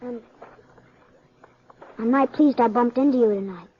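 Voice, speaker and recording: A woman's voice: a little high, unhurried, slightly rough, dull audio. A woman playing an earnest, wary eleven-year-old runaway boy in a 1950s western radio drama, with an American accent and plain country talk. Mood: awed, eager.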